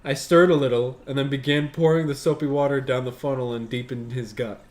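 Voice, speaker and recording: A man's voice: mid-range voice, steady tone, fairly quick, darkish tone. A man reading a story aloud as a show host, breaking off for casual, sweary commentary.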